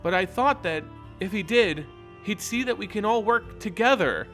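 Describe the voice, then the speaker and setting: Storybook werewolf heroine played by a man: idealistic, conflicted, heartfelt, slightly high voice with music underneath. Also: lively intonation, moderate pace, fairly bright tone. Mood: regretful. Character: earnest, troubled werewolf woman, idealistic and conflicted. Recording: music behind the voice.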